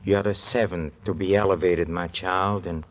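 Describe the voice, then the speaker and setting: An actor playing a mad, educated religious fanatic who preaches scripture haltingly and fervently in an old-time radio drama. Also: male voice, fairly even intonation, natural voice. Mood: hushed, fervent. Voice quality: low voice. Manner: hesitant, halting, fervent scripture-quoting speech. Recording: muffled vintage radio recording.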